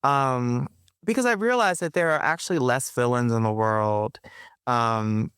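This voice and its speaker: A woman's voice: rumbling low, a little slow, smooth, no room sound, studio quality. A woman guest on a podcast interview, speaking thoughtfully with frequent fillers and restarts, laughing now and then.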